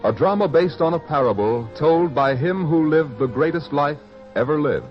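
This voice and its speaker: A man's voice: on the high side, expressive. Dramatic male radio narrator over music. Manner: solemn, dramatic, deliberate phrasing. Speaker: announcer and narrator. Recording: dull lo-fi vintage radio recording.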